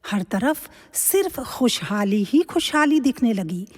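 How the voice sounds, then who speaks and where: A woman narrating a devotional legend as scripted, measured storytelling in an audio drama. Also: on the high side, big pitch swings, full character voice. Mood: measured, reverent. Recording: long reverb.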